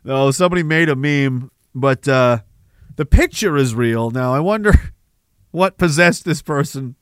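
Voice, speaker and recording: A man's voice: medium pitch, unhurried, clean tone, dry recording, studio quality. A man hosting a livestream, reading chat comments and riffing on them sarcastically, often breaking into laughter. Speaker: livestream host.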